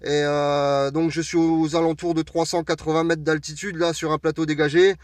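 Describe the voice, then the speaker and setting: A man from France with a fairly high voice, picked up a little way from the mic in a dry space. He chats casually over amateur radio, hesitating and dropping in radio jargon. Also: flat delivery, moderate pace, no room sound. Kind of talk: casual radio contact chat. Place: parked vehicle outdoors on an open plateau. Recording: distant mic, recorded away from the speaker.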